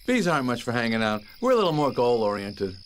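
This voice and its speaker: An actor playing a young worker bee, a wry and earnest reluctant hero in an animated video game. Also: male voice, medium pitch, fast talker, a little hiss or hum, natural voice. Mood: wry, relaxed. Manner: wry, incredulous.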